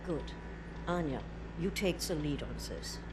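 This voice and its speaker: An actress playing a brisk resistance leader in a game cutscene, giving orders in a businesslike, authoritative way. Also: female voice, sits low, animated, noisy background. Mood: commanding.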